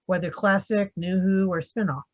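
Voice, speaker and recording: A woman's voice: lowish voice, fairly even intonation, normal speed. A woman moderating a panel discussion in a conversational way, heard through a dull, lo-fi microphone.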